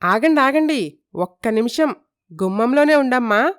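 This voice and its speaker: A woman voicing an excited, doting middle-aged mother-in-law in a cartoon story, gushing and fussy. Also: high voice, moderate pace, clean tone. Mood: delighted, fussing.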